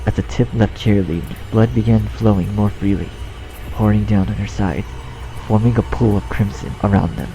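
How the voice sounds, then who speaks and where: A man's voice: lowish voice, normal speed, poor audio. A man reading a scripted story as narrator, in a dramatic storytelling style. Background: music.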